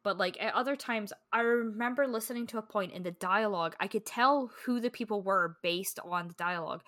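A woman with a Scottish accent, co-hosting a casual book podcast and telling stories in an animated, chatty way. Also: medium pitch, brisk, no echo. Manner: animated, chatty storyteller with frequent fillers. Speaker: podcast co-host.

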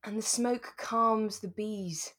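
A British woman co-hosting a relaxed chat podcast, giggly and self-mocking as she tells things in an animated way. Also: mid-range voice, slow. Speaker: podcast co-host. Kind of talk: relaxed chat about a radio drama.

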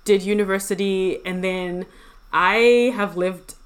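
A woman's voice: mid-range voice, fairly even intonation, slow pace. A woman in her thirties from Zimbabwe, co-hosting a casual podcast in a friendly, reflective way. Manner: friendly, reflective, trails off into softer asides. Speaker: podcast co-host.

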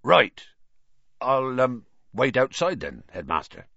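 A man's voice: mid-range voice, sing-song, slow, gravelly, a bit bright, no room sound, muffled recording, heavily acted. A man voicing a huge, agitated, loyal gamekeeper in an audiobook, urgent and blurting. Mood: sheepish. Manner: urgent, ranting. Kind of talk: audiobook character voice.

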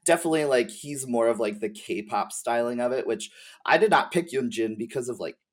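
A man's voice: mid-range voice, brisk. A man talking as a podcast guest in a casual, slangy, rambling conversational style. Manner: informal, slangy, hedging and rambling. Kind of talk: casual conversational chat about game lore.

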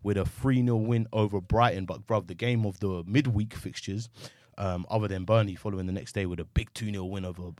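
A man's voice: on the low side, a little fast, no echo, studio quality. Slangy man hosting a football podcast. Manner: slangy, animated, says bro a lot. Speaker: podcast host. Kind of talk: casual football chat.

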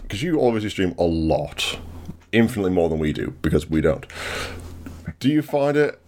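A man's voice: deep voice, a little mellow. An English man hosting a podcast, joking and asking long rambling questions in casual chat. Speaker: podcast host.

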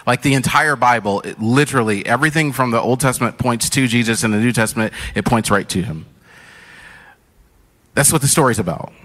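A man's voice: medium pitch, a little fast, fairly bright tone, long reverb tail. A man giving a sermon from a church stage, conversational, with many fillers and restarts. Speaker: pastor.

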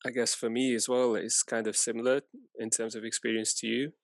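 A man from Afghanistan whose first language is Farsi, speaking hesitantly in a reflective podcast conversation, full of pauses and fillers. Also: medium pitch, little pitch movement, creaky, dry recording. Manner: hesitant, many fillers, soft-spoken. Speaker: podcast co-host.

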